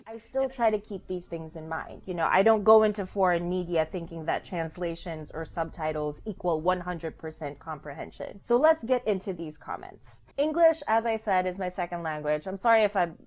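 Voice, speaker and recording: A woman's voice: lowish voice, expressive, normal speed, a little mellow. Rambling young woman. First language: Filipino. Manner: rambling, chatty, with joking asides. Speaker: vlogger. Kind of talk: casual commentary vlog.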